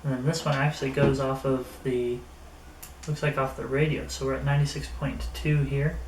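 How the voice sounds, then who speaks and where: A man giving a casual hands-on product review, thinking aloud in a somewhat halting way. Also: mid-range voice, little pitch movement. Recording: roomy echo, distant mic, faint noise.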